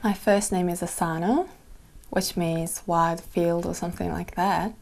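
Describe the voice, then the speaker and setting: Low gravelly-voiced young woman candidate. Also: fairly even intonation, a little slow, a little mellow. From Japan. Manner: simple careful phrasing with small grammar slips. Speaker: test candidate. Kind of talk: mock speaking exam answers.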